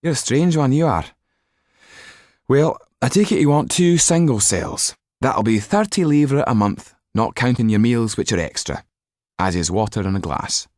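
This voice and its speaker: A man's voice: medium pitch, lively intonation, normal speed, a little mellow, no echo, studio quality, pitched above the performer's own voice, lightly acted. A man voicing a smirking, officious prison clerk in an audiobook, with a mocking manner. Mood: mocking, businesslike.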